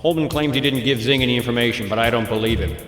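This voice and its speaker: A man's voice: mid-range voice, fast talker, a bit bright, lightly acted. Guy voicing a bossy curt agency bad guy in a game, big echoey space, bit of music. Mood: curt, suspicious. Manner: authoritative, curt. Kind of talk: video game cutscene acting. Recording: echoey hall, faint music behind.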